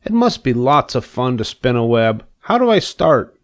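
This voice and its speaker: A man voicing an eager, boastful, naive young male pig in a storybook, excitable and earnest. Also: medium pitch, moderate pace, voice pushed up. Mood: eager, excited. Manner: excitable, wide-eyed, earnest. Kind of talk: storybook character reading.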